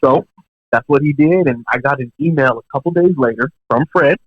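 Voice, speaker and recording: A man telling a story as a guest in an interview, casual and animated, with many fillers and restarts. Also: medium pitch, brisk, no echo, dull audio. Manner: animated, lots of "you know" fillers and restarts. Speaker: guest drummer.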